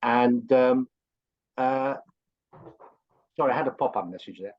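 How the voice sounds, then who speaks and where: Older man, English accent, rambling promotional chat, mid-pitched voice, muffled lo-fi video call sound. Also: measured pace. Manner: chatty and rambling, with big upward swings in pitch. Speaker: course co-instructor. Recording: dull lo-fi call audio.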